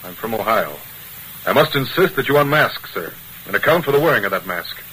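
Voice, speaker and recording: An actor playing a stern, suspicious army captain in an old radio drama, speaking in a stiff, formal, demanding way. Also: male voice, medium pitch, lively intonation, a little fast, sharp tone, a little hiss or hum, poor audio. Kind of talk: 1940s radio acting.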